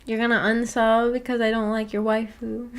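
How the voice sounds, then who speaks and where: A woman's voice: a little high, a little slow. A woman streamer chatting casually with her viewers in a giggly, playfully teasing and slangy way. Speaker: streamer reading and answering viewer chat. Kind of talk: casual livestream chat.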